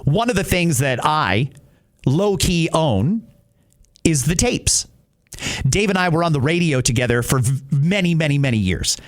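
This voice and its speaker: A middle-aged man with a fairly high-pitched voice hosts a podcast. He talks casually and straight to the listener, in short conversational phrases, with the ease of a radio personality. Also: animated, unhurried.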